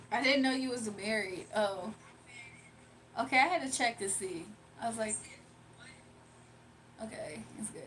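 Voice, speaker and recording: Chatty higher-voiced woman live-streaming. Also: fairly bright tone, a little hiss or hum. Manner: chatty, laughs often, talks straight to viewers. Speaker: livestreamer. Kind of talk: casual live-stream chat, reading comments aloud. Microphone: phone.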